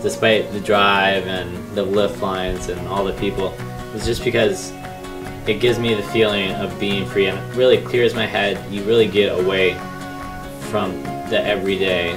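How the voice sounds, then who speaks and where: A man's voice: low voice, flat delivery, moderate pace. A young man reflecting casually in a documentary interview. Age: teens to young adult. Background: guitar music.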